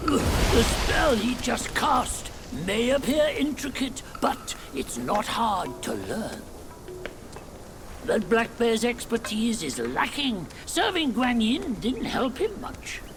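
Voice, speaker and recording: An actor playing a wise, knowing male spirit guide in a game cutscene, speaking in a measured, calm way. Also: very high-pitched, over music, heavily acted. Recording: loud game score behind.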